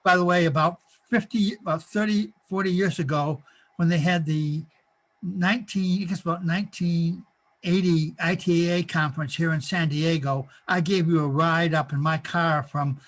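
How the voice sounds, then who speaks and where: Older male participant, stammery and chuckling, somewhat high voice, on a video call. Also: fairly even intonation, poor audio. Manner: halting, repeats words, chuckles often. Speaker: workshop participant. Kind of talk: casual chat.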